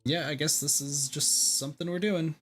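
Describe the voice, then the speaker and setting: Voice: medium pitch, steady tone, normal speed, slightly rough. A game streamer commenting casually and informally, often repeating their own phrases.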